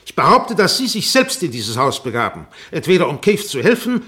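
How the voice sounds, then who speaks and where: Relentless barrister, swooping voice. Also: male voice, a little high, sing-song, fairly quick, bright, forceful tone. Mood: accusing, pressing. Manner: formal, insistent, rhetorical repetition. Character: relentless, shrewd male defence barrister cross-examining. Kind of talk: German radio play courtroom acting.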